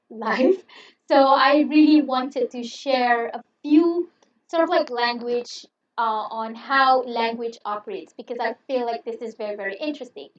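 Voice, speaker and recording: A woman's voice: fairly high pitch, a little hiss or hum. Malay-native woman lecturing in a roomy, dull recording. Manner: friendly, chatty, reassuring with many fillers. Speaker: university English lecturer. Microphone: headset. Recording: roomy, distant, dull lo-fi sound.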